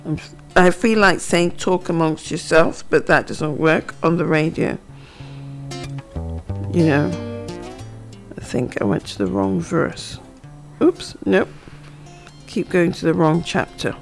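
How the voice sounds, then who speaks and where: A woman teaching from the Bible on the radio, reading verses aloud and explaining them in a slow, reflective way. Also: lowish voice, creaky. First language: not Hebrew. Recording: faint guitar music behind. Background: soft guitar music.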